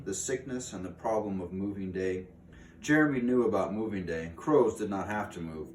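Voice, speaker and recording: A man reading a storybook aloud in an expressive way, with dramatic pauses. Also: low-pitched. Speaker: reader of a children's book aloud. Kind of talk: storybook read-aloud narration. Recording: roomy, distant mic with faint noise.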